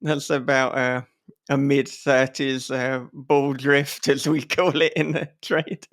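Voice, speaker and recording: A man hosting a casual, joking interview in a playful, giggly and halting manner. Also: medium pitch, level tone, fairly bright tone. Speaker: podcast host.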